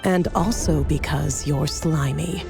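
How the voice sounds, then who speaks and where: A woman's voice: deep voice, takes it slowly, gravelly, music in the background, full character voice. An actress playing a shrewd, sardonic woman in a fantasy game, talking in a cool, dry, pointed way. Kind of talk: dramatic video game voice acting.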